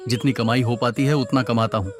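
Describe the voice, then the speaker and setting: A man's voice: medium pitch, fairly even intonation, brisk, natural voice. Earnest poor young husband played by a man. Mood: defensive. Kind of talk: Hindi cartoon moral story voice acting.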